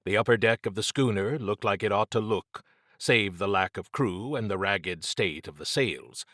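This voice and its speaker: A man's voice: lowish voice, moderate pace, fairly bright tone, no echo, clean studio sound. A man narrating scripted fiction as a dramatic audiobook reader.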